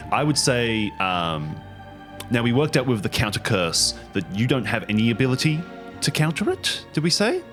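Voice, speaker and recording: A man's voice: mid-range voice, very animated, moderate pace, a bit bright. A man running a tabletop role-playing game as game master, talking casually and improvising as he goes. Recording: music playing behind the voice. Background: music.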